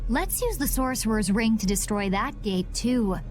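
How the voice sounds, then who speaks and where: Woman as gentle, polite young noblewoman. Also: on the high side, lively intonation, normal speed, music in the background, lightly acted. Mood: earnest. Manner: polite, earnest, proper. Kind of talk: video game dub acting.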